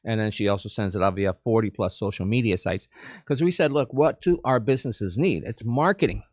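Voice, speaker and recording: A man's voice: fairly low pitch, brisk, no echo. A man from Cuba, a chamber of commerce president, talking eagerly as a guest in a studio interview and often repeating words. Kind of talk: casual interview chat.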